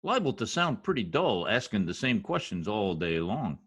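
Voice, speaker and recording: A man plays a blustering, self-important husband from an old-time radio comedy. His voice is husky and swoops widely in pitch as he delivers slangy, folksy wisecracks. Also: big pitch swings, moderate pace, a bit bright, full character voice. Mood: grumbling eagerness. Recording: home video-call recording.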